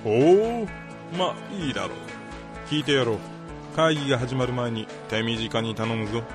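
A man's voice: medium pitch, moderate pace, over music, lightly acted. A man playing a skeptical, bossy lab chief with a casual, bemused manner in a comedic radio drama. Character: gruff, skeptical male lab chief, easily impressed.

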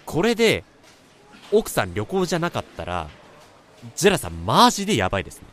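Junior office worker, played by a man: gossipy, anxious, very animated delivery, radio drama. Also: fairly high pitch, fairly quick. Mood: worried, musing. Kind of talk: Japanese radio drama acting.

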